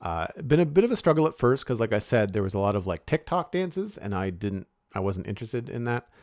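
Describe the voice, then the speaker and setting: A man's voice: on the low side, sing-song, brisk, a little mellow, no echo, clean studio sound. A man co-hosting a podcast, chatting casually and rambling with many restarts and fillers. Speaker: podcast co-host.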